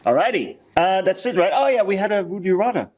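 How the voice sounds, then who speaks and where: A man's voice: high voice, very animated, fast talker. A man from the Netherlands with a Dutch accent, chatting casually and self-deprecatingly as a podcast co-host. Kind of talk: casual chat.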